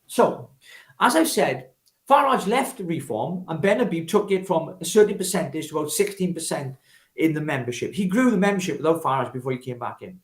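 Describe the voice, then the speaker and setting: A Welsh man with a fairly high voice that swings widely in pitch. He argues politics emphatically and spontaneously, like an orator, repeating himself for weight and posing rhetorical questions. The room around him is noticeably echoey. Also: expressive, brisk. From Wales. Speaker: political activist guest, former parliamentary candidate. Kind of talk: spontaneous political interview talk.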